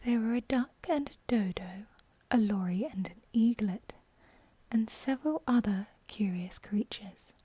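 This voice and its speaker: A woman narrating a storybook in a soft, breathy ASMR bedtime style, close to the microphone. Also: medium pitch, unhurried, no echo. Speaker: audiobook narrator.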